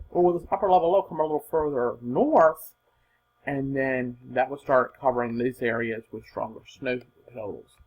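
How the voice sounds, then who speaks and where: A man giving informal, unscripted weather forecast commentary in an emphatic, husky voice, repeating points for stress. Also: mid-range voice, highs cut off.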